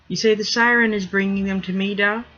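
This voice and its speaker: A man voicing a naive, excitable young merman boy with wide-eyed, earnest speech. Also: sits high, a little fast, voice pushed up. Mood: resolute. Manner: wide-eyed, pleading questions. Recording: dull lo-fi recording.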